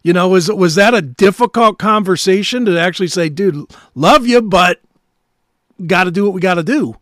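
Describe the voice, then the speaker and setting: A man's voice: sits high, moderate pace, fairly bright tone, dry recording, studio quality. A man hosting a talk show, asking questions in a casual, conversational style.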